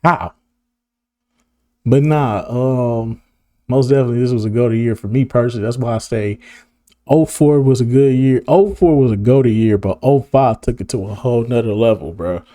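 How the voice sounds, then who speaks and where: Hoarse laid-back man rambling. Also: medium pitch, normal speed, mellow, no room sound, clean studio sound. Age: about 30. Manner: laid-back, rambling, heavy slang, laughs a lot. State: hoarse, losing his voice. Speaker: video creator. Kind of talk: casual reminiscing monologue to camera.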